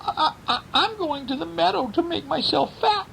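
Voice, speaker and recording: A man voicing a tiny, timid young billy goat with a thin falsetto and pleading manner in a bedtime story. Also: lively intonation, normal speed, gravelly, a bit bright, a little hiss or hum, exaggerated voice, low-quality recording.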